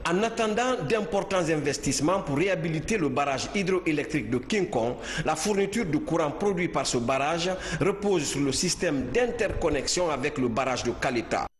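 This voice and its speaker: A man reads a news report in a measured, formal tone, his voice a little high for a man. The room is roomy and echoey, with a long reverb tail. A faint hiss sits underneath. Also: animated. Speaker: field reporter.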